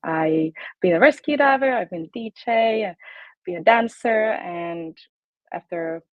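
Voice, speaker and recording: A woman's voice: mid-range voice, measured pace, no echo, phone-quality sound. A woman in her late twenties from Finland with a Finnish accent, chatting casually as a guest fitness coach in a bubbly way with plenty of ums. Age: 20s. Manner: bubbly, frequent ums and laughs. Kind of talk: casual interview chat.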